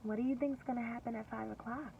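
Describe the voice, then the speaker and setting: A woman teacher reading a story aloud to young children, expressive and deliberate, pausing to explain and ask questions. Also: on the high side, vocal fry. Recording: distant, dull lo-fi recording with faint noise.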